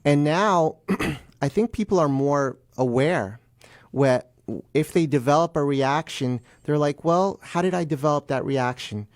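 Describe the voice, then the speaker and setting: A man speaking as a guest attorney in a radio interview, explaining plainly in halting phrases. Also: on the high side, no echo, clean studio sound.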